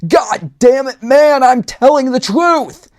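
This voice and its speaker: Frantic male monster hunter for a storybook comedy, acted by the narrator, desperate, raised pitch. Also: lively intonation, slow pace.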